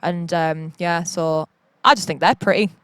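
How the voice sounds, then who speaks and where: A woman's voice: on the low side, fairly even intonation, a little slow, a bit bright, clean tone, no echo. A woman from northeast England, chatting in a rambling, upbeat way outdoors. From Durham, northeast England. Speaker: band member asking questions. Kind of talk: casual chat.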